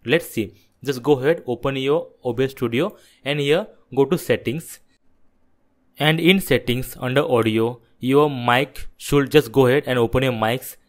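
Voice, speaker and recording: A man's voice: mid-range voice, normal speed. Man presenting a tech tutorial. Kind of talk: screen-recorded software tutorial narration.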